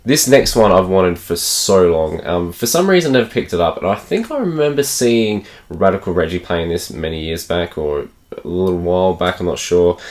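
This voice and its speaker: An Australian man with a croaky voice from a cold, chatting enthusiastically to camera about games he picked up and laughing often. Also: deep voice. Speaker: gaming channel host. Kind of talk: casual unboxing chat to camera.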